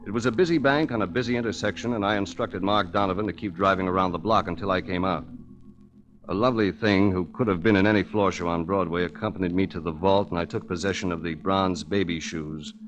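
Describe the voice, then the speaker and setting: A low male voice over prominent music in a 1940s radio drama. An actor plays a suave hard-boiled investigator who is wry and urbane, delivering dry wisecracks and polished narration. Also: steady tone, fast talker, highs cut off, close to an everyday voice.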